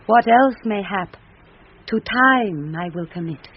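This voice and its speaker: A woman's voice: medium pitch, expressive, slow. Earnest British noblewoman, muffled vintage radio. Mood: resolute. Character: shipwrecked young noblewoman, earnest, grieving, resolute. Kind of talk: 1970s BBC radio Shakespeare acting. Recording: dull, noisy vintage radio recording.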